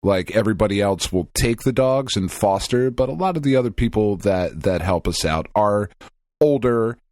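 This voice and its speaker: A man's voice: on the low side, lively intonation, moderate pace, a little mellow, dry recording, studio quality. A man co-hosting a casual podcast, rambling and venting with lots of swearing and restarts. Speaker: podcast co-host.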